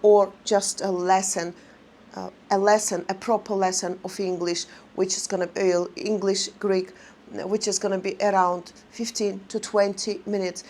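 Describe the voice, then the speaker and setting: A woman vlogger chatting casually to her viewers in non-native English, rambling and halting with many fillers. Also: mid-range voice, little pitch movement, darkish tone, slight background noise.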